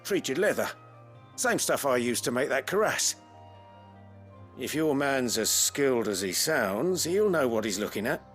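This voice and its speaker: A man's voice: mid-range voice, big pitch swings, measured pace, fairly bright tone. A man playing a humble, talkative leather craftsman in a video game, wry and self-deprecating. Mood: grateful, obliging. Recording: game music under the voice.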